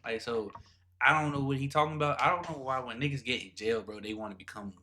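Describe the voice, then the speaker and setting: A man's voice: mid-range voice, fast, sharp tone, slight background noise. A man hosting a reaction video in casual, slangy, repetitive speech with an incredulous manner.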